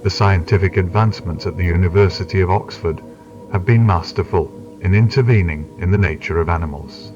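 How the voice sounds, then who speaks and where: A man reading scripted documentary narration in a formal, measured way. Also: low voice. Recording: distant, dull lo-fi analog video sound. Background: clear music.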